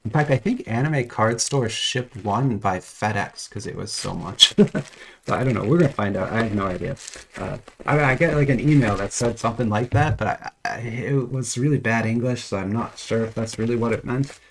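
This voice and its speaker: A man's voice: mid-range voice, moderate pace, fairly bright tone, slight background noise, low-quality recording. Rambling man with a head cold. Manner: rambling, hesitant, frequent restarts and chuckles. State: has a cold, voice a bit off. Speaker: trading card unboxing vlogger. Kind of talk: casual unboxing chat. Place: at home.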